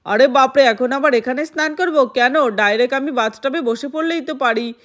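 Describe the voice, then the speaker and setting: A woman's voice: high-pitched, moderate pace, lightly acted. A woman voicing a reluctant young groom who grumbles and protests in a doll play. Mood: complaining. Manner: whining, protesting.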